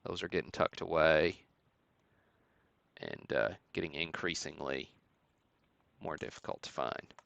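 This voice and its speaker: A man hosting a live stream, giving matter-of-fact commentary in a halting way with pauses. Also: rumbling low, expressive, gravelly, a bit bright. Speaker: host of a sports card break stream. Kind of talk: live show-and-tell commentary on vintage cards.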